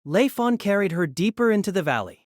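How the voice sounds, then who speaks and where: A woman narrating a novel in steady storytelling, also voicing the male lead's lines. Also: medium pitch, a little fast, no echo, studio quality.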